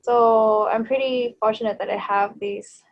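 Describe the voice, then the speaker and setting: A woman in her thirties from Thailand, a native Thai speaker, talking as an interview guest in a hesitant way, with many fillers and soft laughs. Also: a little high, steady tone, a little slow, muffled recording. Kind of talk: casual interview chat.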